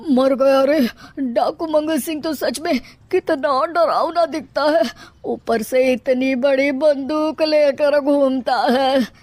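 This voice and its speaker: A woman's voice: high voice, slow, a little mellow, dry recording. A woman voicing a nervous, cowardly middle-aged father-in-law in a cartoon, flustered and dramatic. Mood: terrified.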